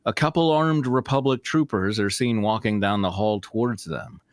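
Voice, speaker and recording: Male narrator, somewhat low voice, dry close sound, informal read-aloud of a fan story. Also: moderate pace, no echo. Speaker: narrator and co-host of a fan-fiction reading show.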